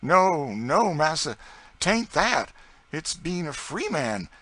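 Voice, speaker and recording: A man putting on a humble, devout old servant, pleading in a slow and fervent way. The voice rides high and strong, with a bright, forceful edge. It is the kind of voice heard in a read-aloud storybook. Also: sing-song, no echo, exaggerated voice. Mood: earnest. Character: humble devout older enslaved man, earnest.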